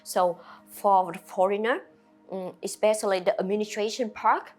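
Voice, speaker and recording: Vietnamese-accented woman, halting English. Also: medium pitch, fairly even intonation, a little mellow, soft background music. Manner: halting, simple non-native phrasing, many fillers. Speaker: restaurant owner. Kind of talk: interview answers to camera.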